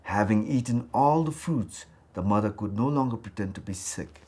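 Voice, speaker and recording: A man from Bhutan telling a scripted folktale for children in a dramatic, expressive way. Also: lowish voice, normal speed, husky. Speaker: storyteller.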